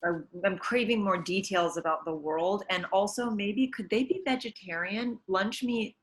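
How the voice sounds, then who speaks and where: A woman's voice: mid-range voice, moderate pace, lightly acted. A woman playing a fussy, sensitive student in a web comedy, giving picky notes in an earnest, tentative way. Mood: earnestly picky. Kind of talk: scripted comedy acting on a video call.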